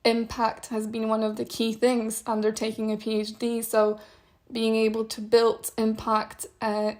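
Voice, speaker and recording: A young woman whose native language is Polish talks through her thoughts on a solo podcast. Her voice sits a little high but stays fairly level in tone, and she muses in a meandering way, with soft laughs between ideas. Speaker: podcast host. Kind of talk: solo reflective podcast monologue.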